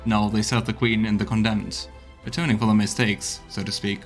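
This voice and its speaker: A man's voice: on the low side, flat delivery, a little fast, faint music behind, natural voice. A man voicing a frank, practical crusader scout in a fantasy game, speaking in a hearty, plain-spoken way. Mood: explaining. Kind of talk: voiced reading of fantasy game dialogue.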